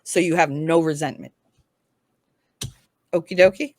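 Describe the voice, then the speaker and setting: A woman's voice: low-pitched, fairly even intonation, unhurried, darkish tone, dry recording. A woman giving a casual tarot reading, speaking in a frank, informal, explanatory way. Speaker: tarot reader, guest.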